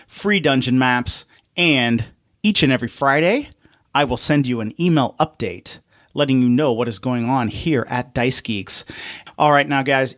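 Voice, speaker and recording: Man, podcast host, average pitch, dry acoustics, conversational delivery. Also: fairly bright tone, dry recording.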